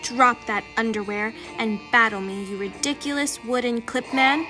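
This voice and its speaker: A woman's voice: fairly high pitch, moderate pace, music in the background, lightly acted. A woman voicing a boastful, silly wooden clothespin warrior from a storybook, bombastic and taunting.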